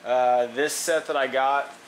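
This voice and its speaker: A man's voice: mid-range voice, steady tone, a little slow, slight background noise. A man casually narrating an engine rebuild in a workshop, thinking aloud with fillers and restarts as he measures parts. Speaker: mechanic demonstrating an engine rebuild. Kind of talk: casual how-to narration while working. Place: workshop garage.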